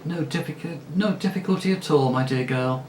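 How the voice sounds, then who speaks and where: A man's voice: brisk, a little mellow, echoey room, a little hiss or hum, natural voice, rough recording. A man doing Lasaraleen, a gushing giddy noblewoman. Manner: high gushing shrill. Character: giddy loud young noblewoman, lazy and vain.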